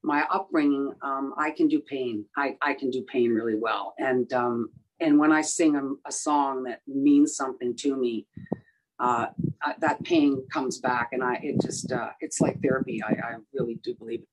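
A woman singer in her sixties with a rough voice, talking in a casual interview, halting with many fillers and restarts. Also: very deep voice, steady tone, slight background noise, rough recording.

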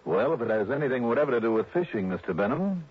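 A man playing a brisk, wry freelance insurance investigator in 1960s radio drama style. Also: medium pitch, big pitch swings, slightly rough. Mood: curious, casual. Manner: wry, assertive. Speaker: lead actor and narrator of a detective radio series. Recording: noisy, dull old radio recording.

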